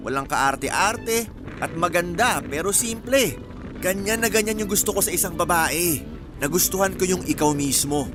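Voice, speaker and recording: A cheeky smitten suitor, high-voiced. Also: male voice. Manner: teasing, flirtatious, easygoing. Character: persistent, cheeky, smitten male suitor, hardworking rice hauler. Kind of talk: radio drama acting. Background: music.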